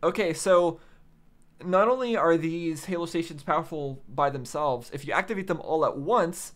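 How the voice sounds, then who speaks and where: A man giving casual off-the-cuff commentary as a reaction host, musing and halting as he thinks aloud. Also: fairly high pitch. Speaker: host of a game reaction video.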